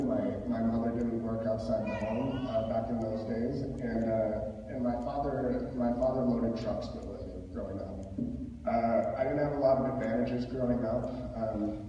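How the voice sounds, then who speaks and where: A middle-aged American man speaks at a forum in a mellow voice, often doubling back on his words. He is far from the microphone in a very echoey hall. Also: mid-range voice, moderate pace, lo-fi sound, a little hiss or hum. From rural Minnesota, United States. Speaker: school board applicant. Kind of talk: answering at a public forum. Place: large echoey room at public forum.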